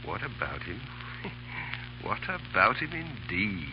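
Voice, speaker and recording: An actor playing a breezy, teasing army officer with an amused, mocking drawl in a 1930s radio drama. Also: male voice, sits low, sing-song, takes it slowly, slight background noise, heavily acted. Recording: dull 1930s radio recording.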